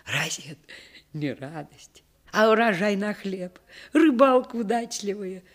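A woman's voice: medium pitch, expressive, measured pace, close to an everyday voice. An actress playing an old Russian village grandmother in a radio play, scolding yet doting, in folksy rural dialect. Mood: fond, proud. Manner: folksy dialect speech with lamenting exclamations.

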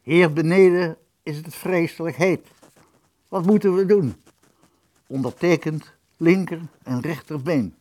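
A man voicing urgent telegraph messages that pass between a brain and its body parts, called out loudly word by word. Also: on the high side, voice pushed up, close to an everyday voice. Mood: pleading, alarmed. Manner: slow, word-by-word, loud calling.

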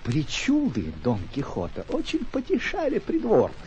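An actor as an urbane, wry storyteller, narrating a classic tale in measured mid-century radio drama style. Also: male voice, high voice, wildly swooping pitch, a little mellow, lo-fi sound, slight background noise, exaggerated voice. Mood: wry, storytelling.

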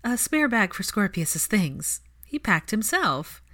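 A woman's voice: mid-range voice, very animated, slow, fairly bright tone, voice pushed up, heavily acted. A woman reading the part of a composed, practical, kind witch in a reassuring, polite way. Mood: matter-of-fact.

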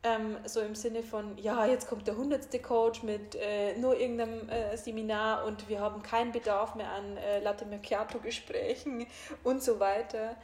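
A woman's voice: on the high side, little pitch movement, normal speed, darkish tone. A woman coach with a German regional dialect, speaking openly and laughing easily, with many fillers. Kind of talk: casual conversation. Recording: echoey room.

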